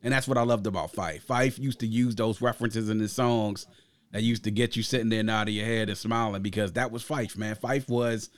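Low-voiced man reviewing music. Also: steady tone, a little fast, dry recording. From Grenada. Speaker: podcast host and album reviewer. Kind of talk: casual music review chat.

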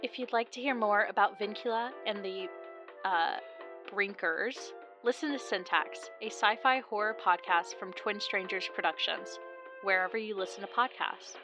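A woman announcer reads a scripted podcast promo in a middle-pitched voice. Music plays clearly behind her. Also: normal speed.

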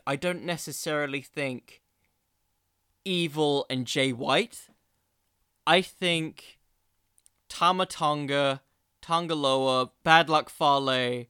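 A man with a bright, forceful voice, pitched a little high, hosts a casual podcast. His sound is crisp and dry, and he rambles as he thinks aloud, often poking fun at himself. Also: dry recording. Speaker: podcast host. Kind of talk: casual wrestling commentary.

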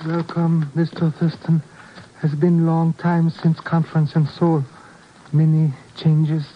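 An actor playing a courteous, solemn old Korean farmer who speaks formal, broken English in a 1950s radio drama. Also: male voice, a little high, little pitch movement, slow. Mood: formal, welcoming. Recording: muffled old radio recording.

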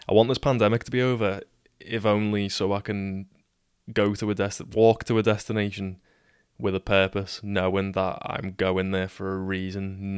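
Scouse podcast host in his twenties, deadpan monotone, crisp dry close sound. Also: deep voice, no echo. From Liverpool, England. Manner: monotone, sweary, trips over words. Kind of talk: casual solo podcast storytelling.

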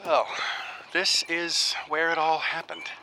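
An actor playing an earnest, uneasy researcher in a radio drama, speaking in a halting, musing way as if keeping an audio diary. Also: male voice, a little high, expressive, creaky, heavily acted. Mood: wondering, musing. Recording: faint noise behind the voice.

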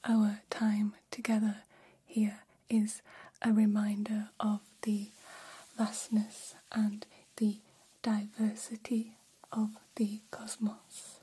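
A woman voicing a gentle, curious humanoid alien in whispered ASMR roleplay, speaking in soothing, stilted, formal phrases. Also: mid-range voice, completely flat delivery, slow pace, rough recording. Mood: grateful, gentle. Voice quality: breathy whisper.

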